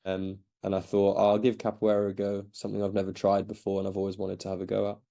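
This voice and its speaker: A man's voice: on the low side, completely flat delivery, fast talker, gravelly, mellow. A man in his thirties who grew up in Belgium, answering interview questions in a casual, hesitant way with many restarts. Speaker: podcast guest.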